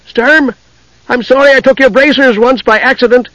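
A man's voice: high-pitched, animated, normal speed, fairly bright tone, no echo, lo-fi sound, voice pushed up. A man voicing a small, naive, chatty kender adventurer who rambles eagerly, in a fantasy story reading. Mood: upset.

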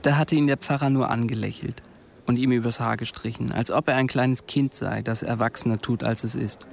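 A man's voice: mid-range voice, fairly even intonation, a little fast, vocal fry, a little hiss or hum. A man reading scripted literary narration as the narrator of a radio drama.

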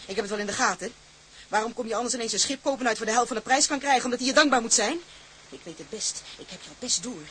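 Defiant, fast-talking young woman. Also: fairly high pitch, husky. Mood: accusing. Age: young adult. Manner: combative, accusing, sharp-tongued. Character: fierce, suspicious young woman, defiant captain's daughter. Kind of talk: Dutch radio drama acting.

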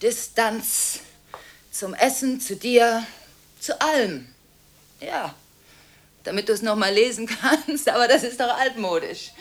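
High-voiced, agitated woman on the phone. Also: expressive, slow pace, long pauses. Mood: weary, evasive. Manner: breathless, impulsive, swinging between protest and hushed brooding. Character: agitated, contradictory woman in nonstop phone calls. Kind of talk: German radio drama monologue, one side of phone calls.

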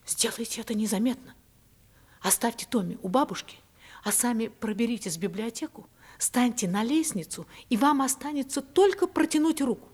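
A young upper-class wife in a radio drama. Her voice sits fairly high, with careful stage diction. She speaks with secretive resolve and strong feeling. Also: moderate pace, a little mellow, husky. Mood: anxious, urgent. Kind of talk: theatrical Russian radio drama acting.

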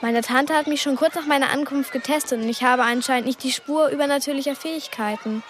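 A woman's voice: high-pitched, fast, background noise, lightly acted. An actress playing an earnest, curious but guarded thirteen-year-old girl in a radio drama. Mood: confiding.

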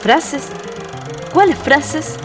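High, lively, teasing young Chilean woman, acted. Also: very slow, long reverb tail, over music, full character voice. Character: teasing, playful young woman, best friend Rosita. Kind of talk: amateur radio play acting.

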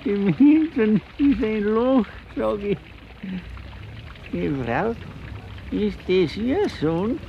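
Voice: medium pitch, lively intonation, extremely slow, vocal fry, pauses often, highs cut off, slight background noise, exaggerated voice. An actor in a radio play voicing a blunt, teasing, chatty oddball at a park fountain.

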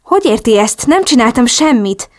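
A woman's voice: mid-range voice, close to an everyday voice. A woman voicing an emotional young woman in love in a storybook, agitated and indignant. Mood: bewildered.

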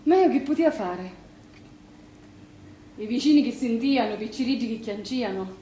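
A blunt Sicilian sister acted by a woman speaks in a high, expressive voice with a scolding edge. It is theatre in Sicilian dialect, heard in a reverberant space with a little background noise. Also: normal speed, lightly acted. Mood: reproachful.

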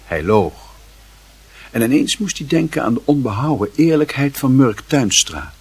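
A man narrating a literary radio play in a grave, measured manner, with a very husky, rough voice. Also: mid-range voice, big pitch swings, a little mellow.

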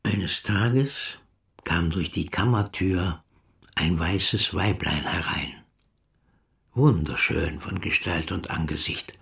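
A man reading scripted narration aloud in a measured, deliberate manner with a creaky voice. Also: no room sound, studio quality. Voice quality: low, creaky. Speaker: narrator, reader. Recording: slightly distant microphone, soft music.

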